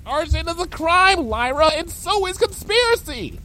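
A man acts out an anxious female pony from a storybook. The voice is pitched very high and swings widely, with a fretful, exasperated edge that tips toward panic. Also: wildly swooping pitch, drawn-out words, pushed and bright, exaggerated voice. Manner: shrieking, whimpering, fretful.

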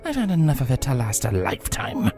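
A man voicing a regal, scheming female pony tyrant who talks slowly and mockingly in a purring voice. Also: mid-range voice, wildly swooping pitch, a little mellow, faint music behind, voice pushed up. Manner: slow, purring, contemptuous.

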